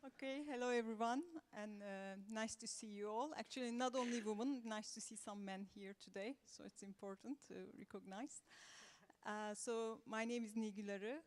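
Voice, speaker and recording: A woman from Turkey speaking English with a Turkish accent, an assistant professor on a panel who talks in a measured, conversational way. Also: fairly high pitch, steady tone, long reverb tail. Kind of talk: round-table panel talk. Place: conference room.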